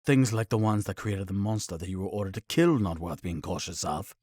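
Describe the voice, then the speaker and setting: An actor playing a suspicious, cryptic knight commander in a fantasy audio drama. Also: male voice, low-pitched, lively intonation, brisk, a little mellow, no room sound, clean studio sound. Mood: accusing.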